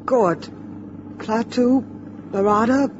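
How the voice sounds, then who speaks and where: A woman's voice: fairly high pitch, takes it slowly, background noise. An actress in a 1950s radio drama playing a frightened, earnest woman with an anxious, emotional manner. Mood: careful. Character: frightened, earnest young Earth woman in danger. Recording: muffled 1950s radio with music behind.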